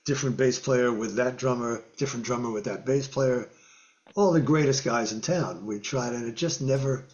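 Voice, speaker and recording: Older male guest, lively raconteur, mid pitch, slightly muffled webcam call. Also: normal speed. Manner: animated storyteller, acts out quotes and guitar sounds, laughs often. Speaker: guest musician. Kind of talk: storytelling in a casual interview. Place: home music room with guitars on the walls. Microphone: video call webcam.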